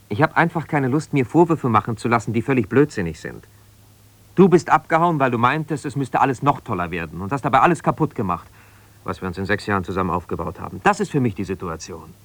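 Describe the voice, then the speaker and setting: An actor playing an embittered, ambitious lawyer husband who talks in an argumentative, colloquial way in a naturalistic radio play. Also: male voice, mid-range voice, big pitch swings, brisk, pauses often, no echo. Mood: angry, frustrated. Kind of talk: naturalistic radio play, one side of a phone call.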